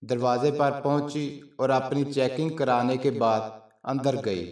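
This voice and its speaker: A man narrating a scripted story in a measured, formal storytelling manner. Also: mid-range voice, steady tone, a little mellow. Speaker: channel narrator. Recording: roomy echo, distant from mic.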